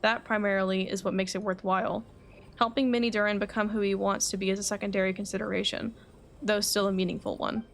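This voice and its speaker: Composed scholarly alchemist read out by a woman, mid-pitched. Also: flat delivery, quick pace, vocal fry, natural voice. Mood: calm, reflective. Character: composed, cerebral alchemist, an artificial human. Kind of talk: reading game dialogue aloud. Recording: faint background noise.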